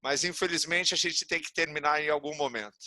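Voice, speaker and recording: A man's voice: a little high, brisk, sharp tone, no echo, clean studio sound. A Brazilian man hosting a podcast interview, effusive and folksy as he wraps up the talk. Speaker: podcast host.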